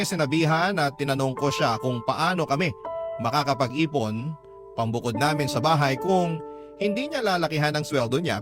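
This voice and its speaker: A man's voice: mid-range voice, lightly acted. A man voicing a lovestruck, discontented young mother's confession letter as dramatic radio narration. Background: music bed.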